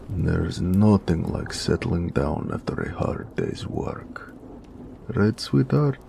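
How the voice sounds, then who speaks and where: A man playing a gentle, teasing orc blacksmith in a romantic audio roleplay, with an affectionate manner. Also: big pitch swings, slight background noise, full character voice. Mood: warm, contented. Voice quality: deep, gravelly. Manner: affectionate, playfully sarcastic, unhurried.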